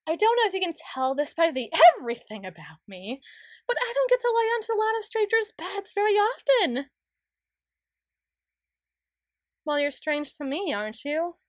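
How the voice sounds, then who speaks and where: A woman voicing a flustered, self-doubting, bookish young tsundere in a halting ASMR roleplay monologue. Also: very high-pitched, very animated, dry recording, clean studio sound. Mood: awkward, flustered. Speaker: audio roleplay performer.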